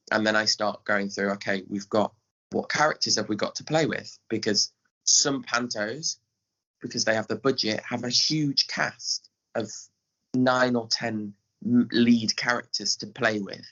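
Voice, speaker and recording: A man's voice: on the low side, fairly even intonation, measured pace, bright, forceful tone, muffled recording. A man with an English accent chatting casually as an interview guest, explaining his work as a theatre director. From England. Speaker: guest, pantomime director and scriptwriter.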